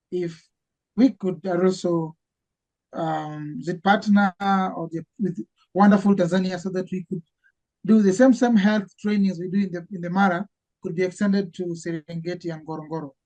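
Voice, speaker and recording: Man, earnest medic guest, halting delivery. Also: high voice, a little mellow, lo-fi sound. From Kenya. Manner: earnest, halting, repeats words as he searches. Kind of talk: casual interview chat.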